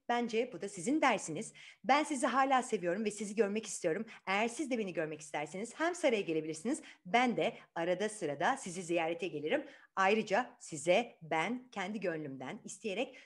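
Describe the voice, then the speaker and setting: A woman voicing a gentle, modest young fairy-tale heroine, speaking softly and sincerely. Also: mid-range voice.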